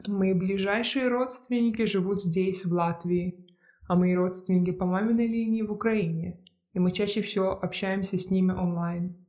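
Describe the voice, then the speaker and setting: A reader narrates a first-person essay in a steady, measured way. The voice stays low-key and mellow, with a narrow, level pitch that barely rises or falls. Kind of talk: scripted narration.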